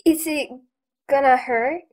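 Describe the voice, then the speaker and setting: Woman as a slow, high-voiced nervous little girl. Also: a little mellow. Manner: hesitant, anxious. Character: naive, nervous little girl, full of questions. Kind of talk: audio drama acting.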